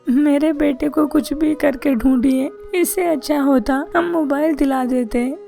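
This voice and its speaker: Woman voicing an anxious cartoon mother, high mellow voice, stammering. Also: fairly even intonation, measured pace, gravelly, faint music behind. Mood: worried. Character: worried mother of a missing boy.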